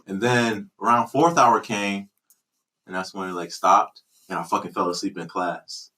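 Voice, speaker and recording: A young man hosting a podcast, telling stories in lively, slangy casual talk. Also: lowish voice, moderate pace. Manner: lively slangy storyteller, laughs often. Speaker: podcast host. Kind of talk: casual chat.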